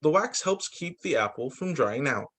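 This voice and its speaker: Male teacher for picture-book read-aloud: expressive storyteller, fairly high voice, highly varied melody. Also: normal speed. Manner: expressive, sing-song storytelling. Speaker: teacher reading to young students.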